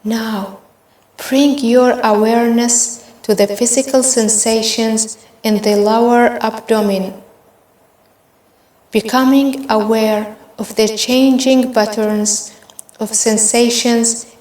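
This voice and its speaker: Slow, echoey woman guiding meditation. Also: fairly high pitch. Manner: gentle, measured instructional delivery. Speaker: meditation guide. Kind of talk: guided meditation narration. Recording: roomy, echoing voice recording.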